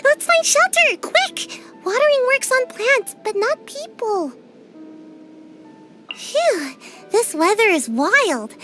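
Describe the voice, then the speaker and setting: A small, drowsy girl ninja from a game. She talks slowly in a high, bouncy voice and sighs often. Faint music plays behind her. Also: full character voice. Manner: drowsy, sighing, mumbling. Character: sleepy small girl ninja, lazy and evasive. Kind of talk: video game character voice lines.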